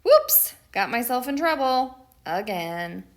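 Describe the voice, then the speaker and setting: Woman reading as a dramatic, mischievous schoolboy, very animated. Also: on the high side, heavily acted. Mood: playful exasperation. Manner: theatrical, emphatic, dramatic pauses. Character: mischievous, dramatic schoolboy narrating his own picture day. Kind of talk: first-person picture book storytelling.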